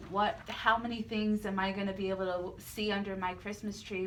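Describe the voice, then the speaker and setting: A woman's voice: medium pitch, fairly bright tone, slight background noise, low-quality recording. A woman co-hosting a radio interview show, introducing guests and commenting between answers. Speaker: radio co-host.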